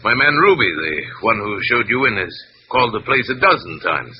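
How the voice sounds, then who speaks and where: A man's voice: on the low side, very animated, normal speed. An actor playing a huge, demanding, worried businessman in an old radio drama, speaking in an imperious, irritable, formal way. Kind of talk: 1940s-50s American radio drama acting. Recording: dull, noisy vintage radio recording.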